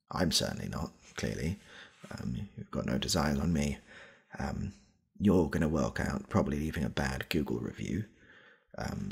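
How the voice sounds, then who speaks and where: Man playing an awkward, very deep, husky shop worker. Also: exaggerated voice. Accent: British. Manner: hesitant, stammering, repetitive, soft-spoken. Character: awkward, needy male shop worker clumsily propositioning a customer. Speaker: ASMR comedy creator playing a shop worker. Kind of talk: comedy ASMR roleplay.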